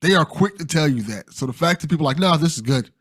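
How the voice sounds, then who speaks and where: Guy podcast host, slightly high voice, really dry sound, chatting casually and hyping people up. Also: lively intonation, quick pace, dry recording. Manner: encouraging, supportive.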